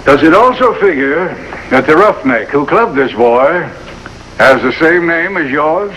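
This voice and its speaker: An actor playing an earnest hospital chief of staff in a 1950s television drama, formal and emphatic in manner. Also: male voice, a little high, expressive, unhurried, fairly bright tone, slight background noise, rough recording. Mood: pressing.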